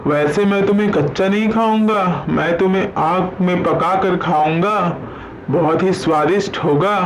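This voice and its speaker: Man playing a high-pitched gloating vulture villain. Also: moderate pace, natural voice, poor audio. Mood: mocking. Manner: gloating, mocking. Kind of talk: animated moral story acting. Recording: faint background noise.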